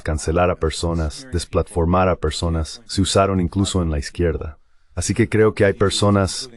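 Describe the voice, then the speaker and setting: A man delivering a dubbed political panel commentary as a voice-over, arguing in a measured, articulate way. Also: deep voice, darkish tone.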